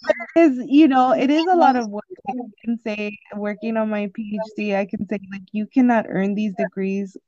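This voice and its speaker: A young woman hosting a podcast, asking questions in an encouraging, easygoing tone. Her pitch is medium. The audio is dry and muffled. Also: normal speed, dry recording. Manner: warm, encouraging, asks questions. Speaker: podcast host and interviewer. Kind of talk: casual interview chat.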